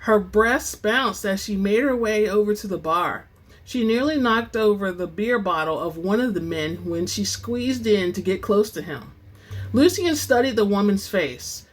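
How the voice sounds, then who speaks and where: A woman hosting and reading book excerpts in a dramatic, expressive storytelling style, with informal asides. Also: medium pitch. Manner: theatrical, expressive; informal, says y'all. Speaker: host and reader of book excerpts.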